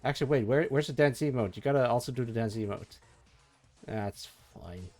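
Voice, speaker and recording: A sleepy man chatting casually and mumbling to himself on a live stream while he works, with energetic music faintly behind him. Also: mid-range voice, fairly quick. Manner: soft, meandering, mumbles to himself, trails off. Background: faint energetic soundtrack music.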